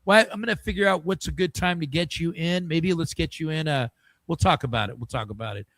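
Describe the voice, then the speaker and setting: A man hosting a casual podcast, his voice a touch higher than most men's. He jokes around with guests and talks loosely. The sound is dry and close, with little room echo. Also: brisk, fairly bright tone. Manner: chatty and joking, leans on fillers like "I mean" and "allegedly". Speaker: podcast host.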